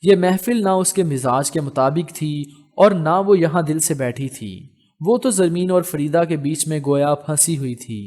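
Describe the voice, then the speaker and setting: A man narrating a scripted romance novel as an audiobook, in a measured, literary storytelling manner. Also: fairly high pitch, darkish tone.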